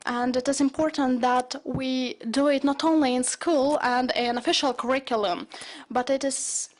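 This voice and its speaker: A woman, giving a halting simultaneous interpretation of a speech and often correcting herself. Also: high-pitched, steady tone.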